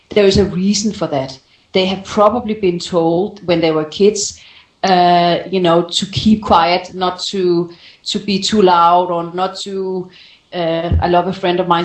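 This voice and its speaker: A woman speaking as a voice coach on a call-in show, explaining conversationally and often rephrasing as she goes. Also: mid-range voice. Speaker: voice coach answering callers' questions. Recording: roomy, echoing sound.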